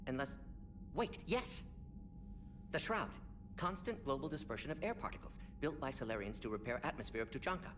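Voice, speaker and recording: An actor voicing an eccentric, brilliant alien scientist in a video game, excitable and speaking in clipped telegraphic phrases. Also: male voice, medium pitch, brisk, background noise, close to an everyday voice. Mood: excited discovery. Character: rapid-talking eccentric male alien scientist, brisk and brilliant.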